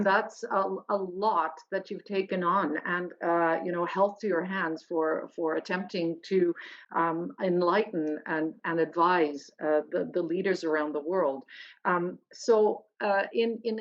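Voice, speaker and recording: A woman hosting an interview, speaking in a halting, polite way. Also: mid-range voice. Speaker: host. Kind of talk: interview chat. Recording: dull lo-fi audio.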